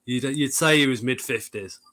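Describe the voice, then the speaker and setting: A man runs a relaxed live stream. He jokes around, swears casually, and reads viewer comments aloud in an easy, chatty way. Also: medium pitch, moderate pace. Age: 40s.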